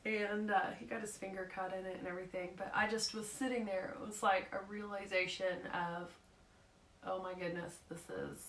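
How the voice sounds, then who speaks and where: A woman, slightly hoarse, giving a casual live talk in a nervous, rambling way with lots of fillers. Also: mid-range voice, steady tone, normal speed, a bit bright, reverberant, slight background noise. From Oklahoma.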